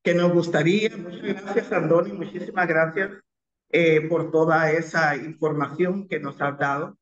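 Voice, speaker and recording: Un hombre que entrevista agradece al invitado y le hace preguntas, con muchas muletillas y dudas. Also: male voice, fairly high pitch, fairly even intonation, normal speed, echoey room, highs cut off, crowd noise. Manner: hesitant, many fillers. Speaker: interviewer. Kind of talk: interview question.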